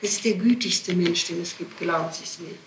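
A woman's voice: lowish voice, brisk, roomy sound, very noisy. A woman being questioned, answering spontaneously in an emphatic, pleading, insistent manner on an archival interrogation tape. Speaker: person being questioned by a prosecutor.

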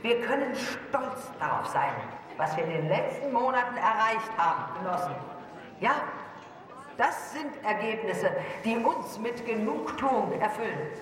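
An actress playing a proud state propaganda announcer in a radio drama, declaiming formally over a loudspeaker. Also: female voice, a little high, expressive, measured pace. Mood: proud, official. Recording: echoey hall, distant, crowd din behind.

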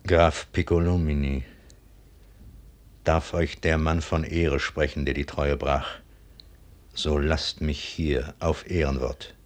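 An actor playing a proud, wounded, bitter army colonel in a 1950s German radio drama, declaiming intensely with a husky, raspy voice. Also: male voice, a bass voice, little pitch movement, slow pace, long pauses. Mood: grim, broken. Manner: declamatory, intense, sinking to harsh whispers.

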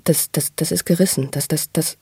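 A man with a very husky, gravelly voice, pitched a little high yet soft-edged. He speaks in a halting, conversational way, repeating words and groping for the next phrase, as if telling his own experience to someone across from him. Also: steady tone, no echo. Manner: stammering word repetitions, searching for words. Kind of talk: halting personal testimony in conversation.

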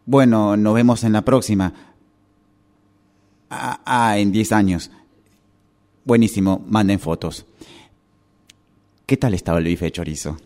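A man's voice: fairly low pitch, measured pace, pauses often, darkish tone, close to an everyday voice. A man playing a friendly guy held up in traffic and phoning old friends in a radio drama, with an Argentine Spanish accent. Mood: let down. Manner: informal, chummy. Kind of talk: radio drama acting, one side of a phone call.

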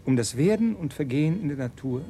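A man's voice: on the high side, lively intonation, fast talker, dark tone, faint music behind. A man playing an unctuous colony scientist in a German science fiction radio play, speaking with theatrical reverence. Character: unctuous male colony scientist praising his bee queen.

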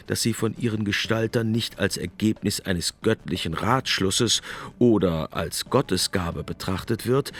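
A man delivers reflective documentary narration in a fairly low voice. He explains in a rhetorical manner, with quiet music underneath.

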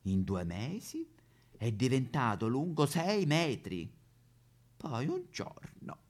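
Lonely, melodramatic Roman woman played by a man, stage comedy monologue, histrionic delivery with extremely animated, swooping pitch. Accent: Roman Italian. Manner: histrionic, repetitive, swinging between whispers and wailing. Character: lonely, melodramatic woman recounting absurd pet disasters. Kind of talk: theatrical comic monologue.

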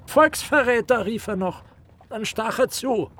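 An actor playing an opinionated, prying gentleman in a German radio play, declaiming pompously and insistently. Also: very high-pitched, measured pace, slight background noise. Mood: declamatory.

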